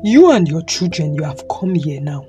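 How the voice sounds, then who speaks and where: A man's voice: on the high side, big pitch swings. A man with a Nigerian Yoruba accent playing a stern, admonishing elderly father and grandfather in an audio drama. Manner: admonishing, deliberate, switches between Yoruba and English. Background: music.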